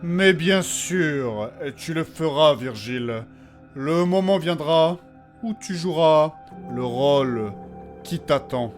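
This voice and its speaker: An actor playing a calm, quietly menacing master in a fantasy audio drama, measured and falsely reassuring. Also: male voice, on the high side, faint music behind.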